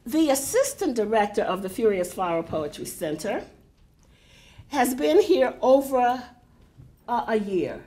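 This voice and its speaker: Older woman, big voice, slow and chatty, slightly high, welcoming remarks on a podium mic. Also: sing-song, slight background noise. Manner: warm, chatty, unhurried with frequent fillers. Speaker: poetry center director introducing a reading. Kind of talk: welcoming remarks to a live audience. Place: lecture hall at a university.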